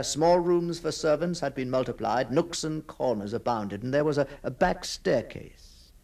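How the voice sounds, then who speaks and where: A man's voice: medium pitch, vocal fry. An actor playing an obsessed, brooding man who stalks apparitions, telling his ghost story in a theatrical, intense monologue. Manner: theatrical, hushed passages swelling into fervent declamation. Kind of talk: dramatic first-person ghost story monologue.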